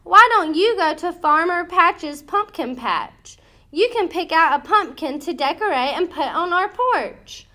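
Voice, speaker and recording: Woman as a kindly, slow-spoken mother cat. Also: very high-pitched, lively intonation, full character voice. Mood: patient. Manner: gentle, encouraging. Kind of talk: children's storybook character voice.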